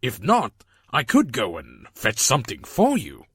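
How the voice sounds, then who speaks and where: A man voicing a kind, timid elderly stallion servant with humble, courteous and halting speech in a story reading. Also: medium pitch, wildly swooping pitch, a bit bright, no room sound, clean studio sound, pitched above the performer's own voice, heavily acted. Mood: gentle, courteous. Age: older. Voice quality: gravelly, raspy. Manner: slow, halting, formal and courteous. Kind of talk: acted character voice in a story reading.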